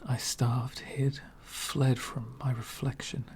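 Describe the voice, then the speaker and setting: A man playing an elegant, centuries-old vampire gentleman, speaking softly and half whispering in a refined, wistful ASMR roleplay. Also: medium pitch, level tone. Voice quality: soft, breathy whispering. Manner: refined, wistful, slow and deliberate.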